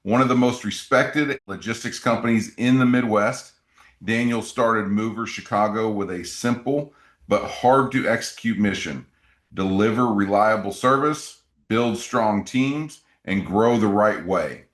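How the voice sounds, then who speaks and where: A man's voice: fairly low pitch, measured pace. A man hosting a radio interview show, introducing his guest in an upbeat, promotional way. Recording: roomy echo.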